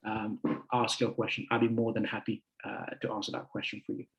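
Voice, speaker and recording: A man in his twenties giving a friendly, chatty talk to a group as a guest speaker, halting often with fillers. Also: on the low side, completely flat delivery, muffled recording. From United Kingdom. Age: 20s. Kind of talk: casual talk to an online group.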